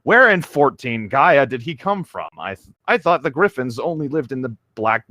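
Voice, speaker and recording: A man's voice: mid-range voice, very animated, normal speed, pushed and bright, dry recording, clean studio sound. A man voicing a startled, urgent castle guard who stammers and exclaims in a comic story reading. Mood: shocked.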